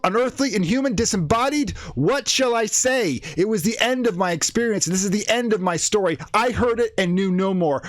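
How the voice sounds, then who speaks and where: A man's voice: on the high side, no echo, voice pushed up. A man playing a haunted, horror-stricken man recounting his friend's doom in a tense, florid radio play narration.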